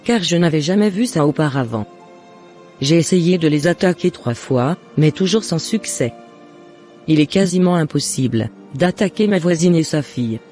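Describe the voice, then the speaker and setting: Man voicing a high-pitched jealous neighbour woman. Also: animated, normal speed, darkish tone, faint music behind, close to an everyday voice. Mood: astonished, resigned. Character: jealous, scheming woman neighbour turned remorseful.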